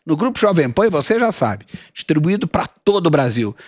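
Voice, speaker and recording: A man hosting a business interview show, chatty and effusive, often jumping in with quick remarks. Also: a little high, big pitch swings, no echo, clean studio sound. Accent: Brazilian Portuguese.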